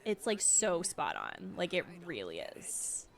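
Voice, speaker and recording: A woman's voice: on the low side, wildly swooping pitch, takes it slowly, vocal fry. Emotional young woman streamer rambling. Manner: emotional, rambling with many fillers. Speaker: streamer reacting to a game. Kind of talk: casual reaction commentary. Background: game music.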